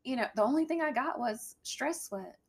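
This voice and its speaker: A young adult woman with a slightly deep voice, a guest talking candidly with a dry sense of humor. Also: animated, moderate pace. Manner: candid, dry humor, mimics a bright work voice. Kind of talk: casual chat.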